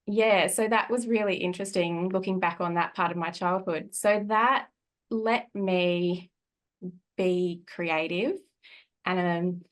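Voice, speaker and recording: A woman in her forties from country New South Wales with an Australian accent, a podcast guest telling her life story in a relaxed, reflective way with frequent laughs and fillers. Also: on the low side. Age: 40s. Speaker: guest, energy healer. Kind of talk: casual conversational storytelling.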